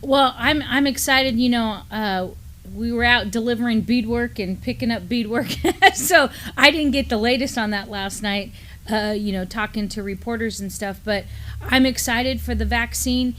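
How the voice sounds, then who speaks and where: A woman's voice: on the high side, normal speed, a bit bright, a little hiss or hum. A middle-aged woman co-hosting a live news talk show, speaking in an easygoing way with many fillers, seated at a desk in a small studio. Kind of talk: casual news chat. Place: studio with mottled backdrop, at a desk.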